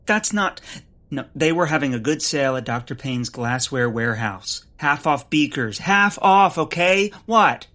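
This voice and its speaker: Excitable amateur-sleuth scientist: indignant, flustered, very lively delivery, comic audio drama. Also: male voice, fairly high pitch, measured pace. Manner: flustered, literal-minded, sputtering.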